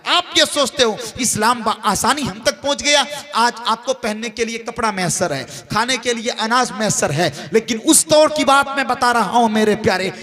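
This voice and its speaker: High-pitched, forceful man preaching. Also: animated, normal speed, hardly any pauses. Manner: exhorting, emphatic, rhetorical repetition and questions. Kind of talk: impassioned religious sermon.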